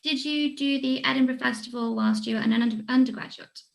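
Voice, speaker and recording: A woman with a fairly high-pitched voice hosts an informal question-and-answer session. She reads out audience questions and responds conversationally to her guest. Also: fairly even intonation, brisk, creaky, poor audio. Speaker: host relaying audience questions.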